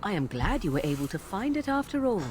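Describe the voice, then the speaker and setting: An actress playing a haughty young witch in a fantasy video game, with formal, archaic phrasing and a measured manner. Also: medium pitch, sing-song, darkish tone, a little hiss or hum, lightly acted. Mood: cool, satisfied.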